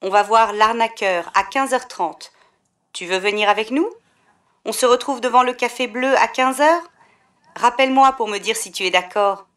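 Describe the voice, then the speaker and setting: A woman reading a short phone message for a textbook listening exercise, friendly and clearly paced. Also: a little high, moderate pace, fairly bright tone.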